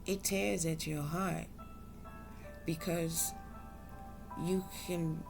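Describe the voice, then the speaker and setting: A woman's voice: on the low side, fairly even intonation, drawn-out words, creaky, music in the background. A woman from South Carolina, talking earnestly and unhurriedly in a reflective vlog monologue. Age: adult. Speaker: vlogger.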